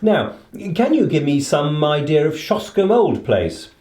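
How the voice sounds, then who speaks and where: An actor playing a keenly observant, self-assured gentleman detective in a radio play, formal, precise and inquisitive, with a British accent. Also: on the high side, very animated, a little slow, reverberant.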